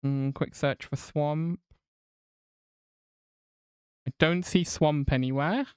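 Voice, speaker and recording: An English woman with an accent that mixes south and north, chatting away on a live game stream and reading her chat aloud. Her voice is smooth and clear, recorded close and dry with no room sound. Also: low-pitched, slow pace, darkish tone, no echo. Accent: mixed southern and northern English. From England. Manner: chatty, swears casually, reads chat aloud.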